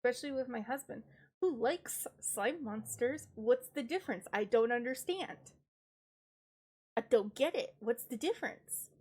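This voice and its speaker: Congested young woman streamer, higher voice. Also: expressive, a little slow, a little mellow, husky. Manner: chatty, self-deprecating, giggly asides. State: congested, stuffed-up voice. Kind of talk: casual chat.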